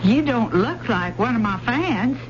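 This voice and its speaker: Lively Southern country fiddler gal. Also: female voice, fairly high pitch, a little slow. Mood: playful, sassy. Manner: folksy, drawling country speech. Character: folksy, spirited country fiddler woman, proud and quick-witted. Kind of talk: old-time radio drama acting. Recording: muffled, noisy old radio recording.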